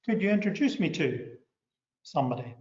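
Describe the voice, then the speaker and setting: A middle-aged man with a Northern Irish accent, a company director giving a measured, scripted overview of his organisation. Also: high-pitched, sing-song, a little mellow. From Northern Ireland. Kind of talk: scripted promotional talk. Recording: echoey, muffled room sound.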